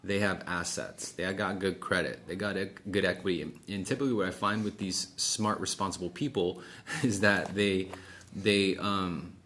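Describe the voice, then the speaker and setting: A man's voice: deep voice, steady tone, moderate pace, fairly bright tone. A middle-aged man coaching one-on-one in a casual, explanatory way, acting out sample sales dialogue and checking in often. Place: small office meeting room, indoors. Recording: clearly noisy room sound.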